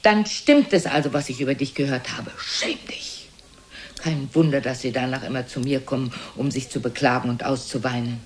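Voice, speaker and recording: Low-voiced, scolding, sarcastic old mother. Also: moderate pace, slight background noise. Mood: probing, reproachful. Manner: scolding, sarcastic, theatrically indignant. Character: reproachful, sharp-tongued elderly mother of Casanova. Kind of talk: German radio play acting.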